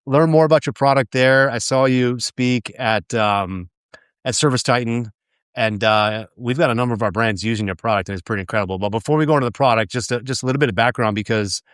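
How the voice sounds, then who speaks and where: A male podcast host chats with his guest in a relaxed, friendly way, dropping fillers as he goes. His voice is smooth and resonant at an ordinary pitch for a man. The recording is dry and close. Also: fast talker, dry recording. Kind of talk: casual interview chat.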